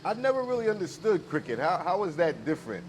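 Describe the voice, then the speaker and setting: A man's voice: sits high, very animated, fast. A man hosting a casual, informal interview in a laid-back way. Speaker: video host interviewer. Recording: noisy outdoor recording with traffic.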